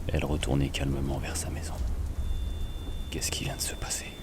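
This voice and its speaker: A man playing a grave investigator in a suspense audio drama, narrating slowly in a halting, hushed way. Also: a bass voice, completely flat delivery, in a whisper. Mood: uneasy, suspenseful. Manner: halting, hushed, repeating first words. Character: grave male investigator recounting a haunted-castle visit. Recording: clear noise, rain and thunder behind.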